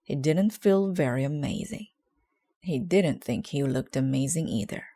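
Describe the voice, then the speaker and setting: A woman narrating a children's book aloud in a measured, clearly phrased way. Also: low voice, animated, mellow. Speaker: storybook reader.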